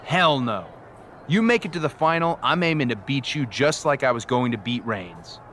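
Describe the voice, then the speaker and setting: An actor playing a friendly, competitive pro wrestler in a sports game scene. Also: male voice, mid-range voice, expressive, normal speed, fairly bright tone, slight background noise, close to an everyday voice. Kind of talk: cinematic sports game dialogue.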